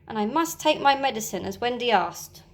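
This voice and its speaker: A woman's voice: on the high side, lively intonation, normal speed. A woman voicing a brave, cocky boy hero who leads the lost boys, with bold, commanding calls in a storybook reading. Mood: urgent.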